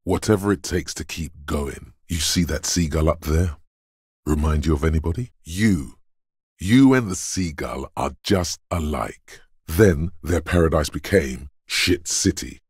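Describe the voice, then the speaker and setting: A grave, stern narrator speaks as the body's inner voice of endurance in a video game. The voice is exceptionally low, full of gravel and very husky. Each line is blunt and deliberate. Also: male voice, darkish tone, no echo, clean studio sound, exaggerated voice. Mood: hard-bitten. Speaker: game voice actor. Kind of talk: scripted second-person game narration.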